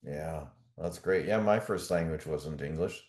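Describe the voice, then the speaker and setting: A man's voice: extremely low pitch, unhurried, a little mellow, reverberant. A man hosting a video call interview, raised in Canada with German as his first language, affirming and laughing easily. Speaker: podcast host.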